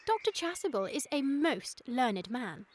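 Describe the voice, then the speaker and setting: A very high-voiced, sweet and romantic young Victorian lady. She speaks precise, mannered British English in a comic radio play, with faint noise behind her. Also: very animated, measured pace. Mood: dreamy, coquettish.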